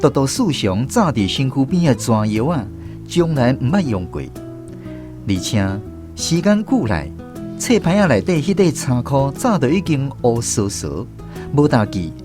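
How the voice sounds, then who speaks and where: Expressive Taiwanese male storyteller. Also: mid-range voice, moderate pace, music in the background. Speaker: radio drama narrator. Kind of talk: scripted first-person storytelling narration.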